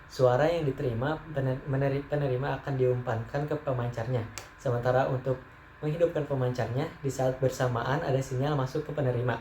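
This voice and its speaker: A man's voice: medium pitch, steady tone, brisk, dark tone. A young man, a student, reading out a class presentation and stumbling over a few words. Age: young adult. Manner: reads steadily, stumbling on some words. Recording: echoey room, faint background noise.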